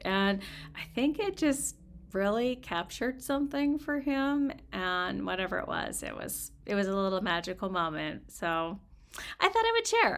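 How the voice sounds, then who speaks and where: Woman storyteller, mid-pitched and slow-paced, informal and expressive, with dramatic emphasis. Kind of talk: casual personal chat and expressive reading aloud.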